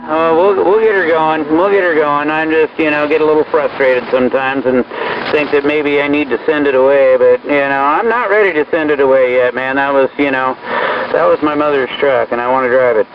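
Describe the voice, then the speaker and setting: A man's voice: on the high side, a little fast. A man chatting casually as an operator over a CB radio. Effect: CB radio transmission. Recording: distant, muffled, noisy CB radio signal.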